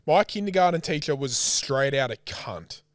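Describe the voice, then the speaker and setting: A man's voice: medium pitch, lively intonation, normal speed, dry recording, studio quality. Swearing Australian man, casual podcast co-host. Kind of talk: casual banter, frequent swearing.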